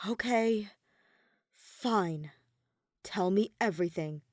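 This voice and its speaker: A woman's voice: mid-range voice, slow pace, no room sound, close to an everyday voice. A woman voicing a stubborn, weary teenage boy in a dramatic audiobook reading, earnest and incredulous. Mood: reluctant, weary. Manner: earnest, protesting, dry.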